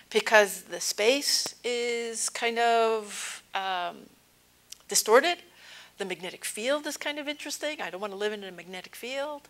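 Woman, 60s, science lecturer. Gravelly creak, mid-range pitch, conversational explainer at a podium. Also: a bit bright. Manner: conversational, explanatory, with plain-spoken asides. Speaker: astronomy lecturer. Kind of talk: informal public science lecture. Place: indoor stage at a podium. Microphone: lapel mic.

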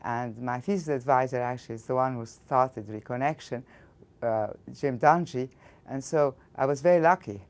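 A woman's voice: very deep voice, moderate pace. An older woman from Egypt with an Egyptian accent, answering interview questions as a physics professor in a thoughtful way, often restarting her sentences. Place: studio interview against a backdrop.

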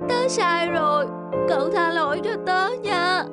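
A woman voicing an earnest young schoolboy in an animated children's story, with childlike delivery. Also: very high voice, a little slow. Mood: remorseful. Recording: faint music behind the voice.

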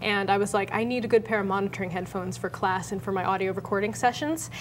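A woman's voice: mid-range voice, fast. A young American woman co-hosting a tech show in a studio, talking in an informal, conversational way. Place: TV-style studio at a desk. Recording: faint background noise.

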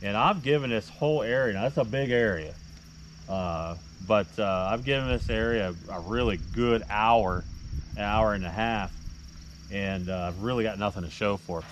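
Middle aged american guy, low voice, rambly folksy fishing chat from a boat, lots of noise around him. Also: normal speed. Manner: folksy, rambling, addresses viewers as guys and folks. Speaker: fishing vlogger and tournament angler. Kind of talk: casual running commentary to camera. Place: outdoors on a small boat on a lake. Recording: outdoor action-camera sound with heavy ambient noise.

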